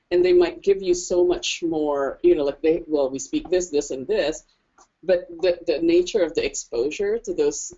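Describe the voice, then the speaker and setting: A woman's voice: fairly low pitch, dark tone, dull audio. A woman speaking on a panel, thoughtful and hesitant, with many restarts. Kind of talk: conversational discussion.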